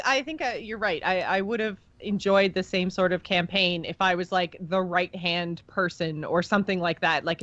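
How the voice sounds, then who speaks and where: A woman's voice: mid-range voice, fairly bright tone, dry recording, lo-fi sound. A woman co-hosting a casual gaming podcast, talking in a chatty way and explaining her points at length. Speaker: podcast co-host.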